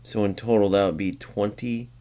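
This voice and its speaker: A man's voice: fairly low pitch, steady tone, a little fast, mellow. A man hosting a gaming channel, chatting casually to viewers and thinking aloud as he adds up points. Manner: thinks aloud, muttering sums to himself; chatty, addressing viewers as guys. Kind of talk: casual game commentary.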